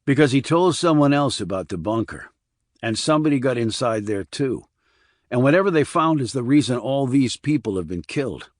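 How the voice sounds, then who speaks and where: A man playing a steady ex-Army investigator in an audiobook, direct and controlled as he questions a witness. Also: medium pitch, normal speed, dry recording, clean studio sound, natural voice. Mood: grave.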